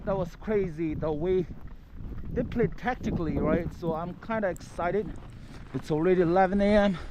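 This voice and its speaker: A man's voice: fairly high pitch, warm tone, poor audio. A young man from Nepal, chatting to viewers as he walks through a snowstorm for his vlog. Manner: chatty, friendly, talks straight to the viewers. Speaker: vlogger. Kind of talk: casual walking vlog. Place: outdoors on city streets in a snowstorm. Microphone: handheld camera. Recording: heavy wind and street noise.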